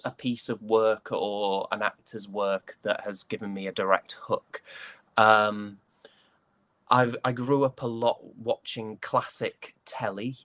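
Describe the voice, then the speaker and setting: A man from Yorkshire with a softened British accent, chatting reflectively and hesitantly as an interview guest. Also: lowish voice, no echo. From Yorkshire, England. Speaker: interview guest, stage and voice actor. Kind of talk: casual interview chat.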